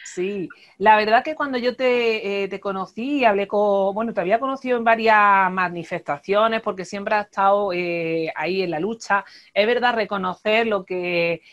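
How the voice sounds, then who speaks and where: A woman's voice: medium pitch, moderate pace, few pauses, a bit bright. A woman in her thirties hosting a video-call interview, framing her questions hesitantly with restarts and tag questions. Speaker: host. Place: room with plants and a window. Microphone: wired earphone mic on a video call. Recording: dull lo-fi video call audio.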